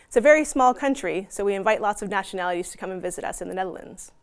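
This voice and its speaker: Canadian woman presenter, friendly explainer, throws in ums, mid pitch. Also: animated, rapid-fire. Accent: Canadian English. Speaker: university outreach presenter. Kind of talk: informational presentation.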